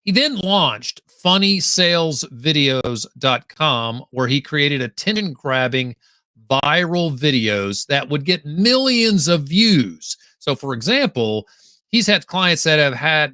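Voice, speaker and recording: A man's voice: medium pitch, bright, forceful tone, dry recording, studio quality. A man hosting a live-streamed interview, upbeat and effusive. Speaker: podcast host.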